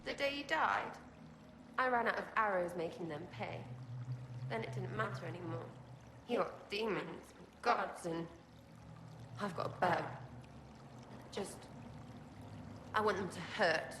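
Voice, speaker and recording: A woman's voice: medium pitch, unhurried, gravelly, pauses often, a bit bright, roomy sound, a little hiss or hum. An actress playing a scrappy, bitter, rattled young female archer in a video game, with a blunt streetwise manner. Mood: bitter, grieving. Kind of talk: video game cutscene acting.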